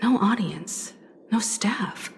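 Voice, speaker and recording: A woman playing a composed, watchful leader of adventurers in a video game, speaking softly and with care. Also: mid-range voice, creaky, a little hiss or hum. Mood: wary, composed. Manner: measured, cautious, soft-spoken.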